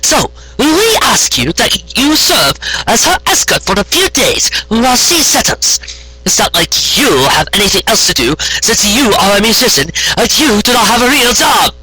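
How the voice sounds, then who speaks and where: A man's voice: extremely high pitch, big pitch swings, normal speed, pushed and bright, breathy edge, no echo, faint music behind, voice pushed up, heavily acted, poor audio. A man voicing a haughty, regal female alicorn princess who declaims pompously in a storybook reading. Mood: imperious, blunt.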